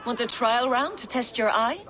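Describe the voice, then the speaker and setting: A woman playing a brisk, cheeky archery contest announcer in a video game, blunt and teasing. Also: on the high side, close to an everyday voice. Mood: brisk, guarded. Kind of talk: video game cutscene acting. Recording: noisy outdoor crowd ambience.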